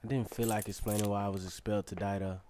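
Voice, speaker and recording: Low-voiced eager young student played by a man. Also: little pitch movement, fast talker, mellow, slight background noise, natural voice. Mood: curious, determined. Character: eager young male student performer, narrating his own story. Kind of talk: scripted first-person radio drama narration.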